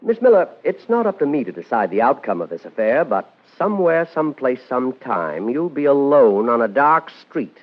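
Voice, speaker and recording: A dull old radio recording carries a mob attorney. He is formal and guarded, with veiled threat under the politeness, and his pitch moves widely across his lines. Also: very animated, moderate pace, hardly any pauses. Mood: veiled menace. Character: smooth, guarded, quietly menacing male lawyer for a gangster. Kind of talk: 1950s radio noir acting. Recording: muffled vintage radio recording, faint music.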